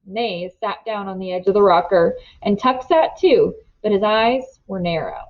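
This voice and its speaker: A woman's voice: mid-range voice, lively intonation, a little slow. Woman narrating a storybook, slightly muffled. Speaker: read-aloud narrator. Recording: slightly dull, lo-fi sound.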